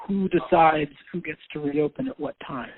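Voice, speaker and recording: A man's voice: fairly high pitch, moderate pace, slight background noise. A man working as a reporter, putting questions to officials on a press conference call. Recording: thin, tinny phone line.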